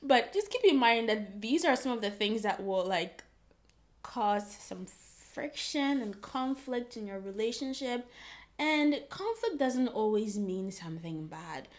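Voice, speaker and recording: Lively laughing woman from Ghana. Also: on the high side, a little slow. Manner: animated, laughs often, teasing. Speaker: co-host. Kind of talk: casual advice chat.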